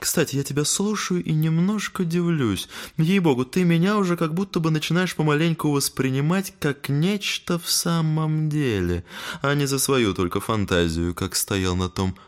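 An actor playing a genteel, down-at-heel devil in an audio drama, talking in an urbane, familiar, teasing way. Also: male voice, a little high, normal speed, close to an everyday voice. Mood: amused, needling. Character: genteel, shabby male devil, chatty and ingratiating. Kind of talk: dramatic audio play acting.

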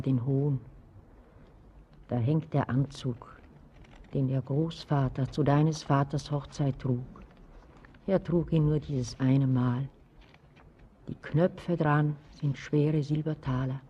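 In slightly dull radio sound, an old grandmother with a dark, husky voice. She is solemn, matter-of-fact and wistful, in classic radio drama style. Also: deep voice, exaggerated voice. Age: older.

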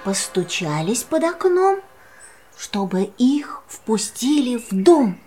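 A woman's voice: on the high side, sing-song, slow pace, music in the background. A woman narrating a children's verse tale in a storybook style.

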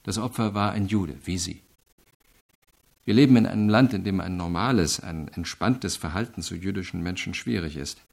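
A man playing an earnest, reflective investigator in a radio crime drama, explaining things in a measured, deliberate way. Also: sits low, creaky, darkish tone. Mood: earnest, intense. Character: earnest, reflective male investigator reporting to his superior.

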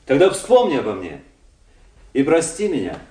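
An actor playing a proud, passionate young officer in a radio play, speaking in a dramatic, declarative manner. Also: male voice, medium pitch, wildly swooping pitch, normal speed, mellow. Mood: solemn pleading. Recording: radio play with faint noise.

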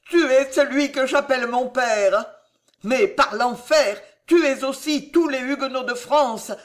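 A narrator voicing an anguished, unstable young king in a breathless, agitated, exclamatory manner. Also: mid-range voice.

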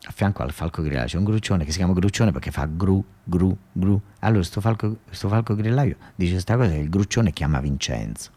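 A man's voice: low-pitched, lively intonation, fairly quick, few pauses, mellow. A man with a southern Italian accent performing a colloquial theatrical monologue for radio, conversational, repeating phrases and restarting as he tells the story.

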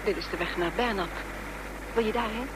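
A woman's voice: medium pitch, brisk, close to an everyday voice. A woman acting in a radio drama as a worried, urgent companion on the run. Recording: very noisy old radio play recording.

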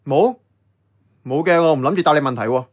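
A man's voice: fairly high pitch, fairly quick, natural voice. An actor with a Hong Kong Cantonese accent playing a casual, blunt young man in radio drama dialogue. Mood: guarded.

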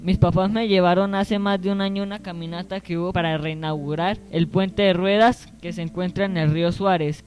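Man playing a high-voiced, courteous young townsman. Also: steady tone, brisk, hardly any pauses, darkish tone, faint music behind, lightly acted. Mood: informative. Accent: Colombian. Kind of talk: amateur radio drama acting.

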